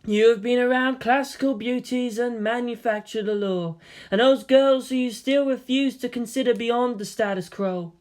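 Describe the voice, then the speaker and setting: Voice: medium pitch, normal speed, voice pushed up, natural voice. A narrator voicing a haughty, lecherous seer who speaks inside a boy's head in a fantasy story. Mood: teasing, suggestive.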